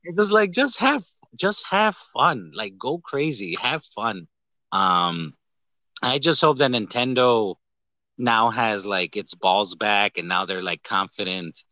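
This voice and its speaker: A man with a bright, forceful voice, recorded close and dry. He chats casually as a podcast co-host, rambling with animation and swearing freely. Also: on the low side, lively intonation, takes it slowly, no echo. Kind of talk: casual chat about films and games.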